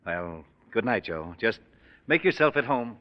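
An actor playing a smooth-talking, scheming middle-aged American family man and boss in an old-time radio drama. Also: on the low side, sing-song, measured pace. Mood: reassuring. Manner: persuasive, reassuring, evasive. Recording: dull, muffled vintage radio recording.

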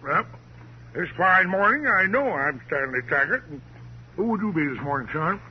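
An actor playing a sly, folksy uncle from out West in an old radio crime drama, drawling and repeating himself with cozy, mock-sweet familiarity. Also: male voice, sits high, expressive, moderate pace. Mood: breezy, cozy. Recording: muffled, hissy old radio broadcast.

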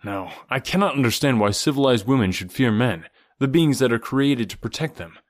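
A man voicing a strong, lonely young jungle man who speaks in a calm, measured and direct way. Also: medium pitch, natural voice. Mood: earnest.

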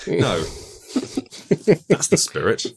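A man's voice: medium pitch, big pitch swings, very slow, creaky, slightly rough. A man talking casually as a game designer being interviewed, rambling, with wry, self-deprecating humour. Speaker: game designer, podcast guest.

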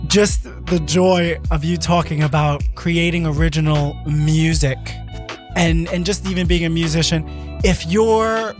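A man's voice: on the high side, steady tone, measured pace. A man hosting a casual music interview in a radio studio, rambling a little and chiming in often. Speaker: radio show host.

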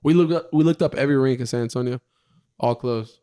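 A man's voice: mid-range voice, fast, warm tone. A man in his twenties, co-hosting a podcast, joking and laughing in casual banter with friends. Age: 20s. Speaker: podcast co-host. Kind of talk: casual chat among friends.

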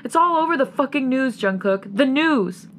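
A woman's voice: mid-range voice, a little fast, fairly bright tone, slight background noise, lightly acted. A woman playing a betrayed young girlfriend in an audio story, bitter, sarcastic and ranting through heartbreak. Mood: shrieking, upset. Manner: ranting, scornful, tearful.

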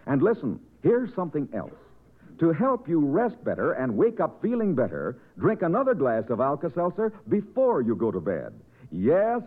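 A man's voice: medium pitch, narrow, tinny audio. A man announcing a commercial in a 1950s radio advertising style on an old recording.

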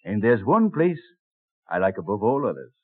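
A dignified, genial colonial gentleman in a vintage radio play. His delivery swings freely in pitch, courteous and earnest, through a thin, narrow old broadcast sound. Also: sing-song, moderate pace, husky. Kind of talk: old-time radio drama acting.

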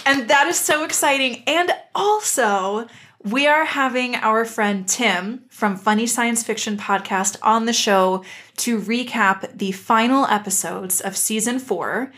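A woman's voice: a little high, unhurried, a bit bright. A woman co-hosting a podcast, talking in a casual fan-discussion style. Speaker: podcast co-host.

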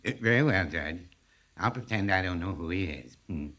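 An actor playing a mild, polite small-town pharmacist with a dry, deadpan wit in 1940s radio comedy. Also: male voice, deep voice, moderate pace, a little hiss or hum.

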